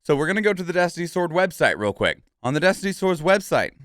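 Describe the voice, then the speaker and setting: A man's voice: on the high side, sing-song, quick pace, fairly bright tone, dry recording, studio quality. A man giving casual, opinionated game commentary as a streamer, speaking emphatically and often checking in with short tag questions. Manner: emphatic, frequently tags statements with "okay?" and "right?"; swears casually.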